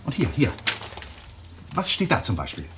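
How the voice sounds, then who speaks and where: A man's voice: medium pitch, wildly swooping pitch, moderate pace, noisy background. Casting: stubborn, cornered young male lawyer, mid-range voice with very wide swings, nervy. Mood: tense. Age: young adult. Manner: agitated, hesitant, dropping into breathy murmurs. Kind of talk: 1980s German radio drama acting.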